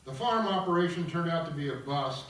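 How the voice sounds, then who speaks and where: A man reading stage directions as narrator at an opera table read, with a theatrical, deliberate storytelling delivery. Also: a little high, animated, fairly bright tone, far from the mic, slight background noise. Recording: roomy echoing recording from afar, guitar music.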